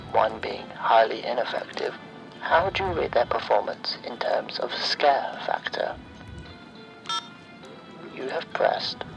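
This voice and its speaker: A man playing a sinister automated phone survey voice in a horror ASMR roleplay, breathy and often near-whispered, speaking in measured, formulaic announcements. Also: mid-range voice, muffled recording, full character voice. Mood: cold, clipped.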